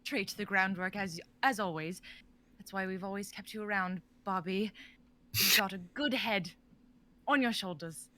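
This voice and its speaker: A woman's voice: medium pitch, measured pace, bright, forceful tone. A woman playing a coy posh Victorian heiress. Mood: flirtatious. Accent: put-on posh English. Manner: melodramatic, breathy and coy. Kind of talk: live-streamed script reading.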